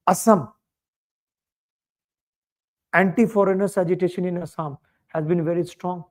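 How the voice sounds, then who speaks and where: A male teacher with an Indian English accent delivers a coaching lecture, his voice sitting high for a man. He throws rhetorical questions at the class and answers them with emphasis. His delivery is steady and explanatory. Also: a little slow.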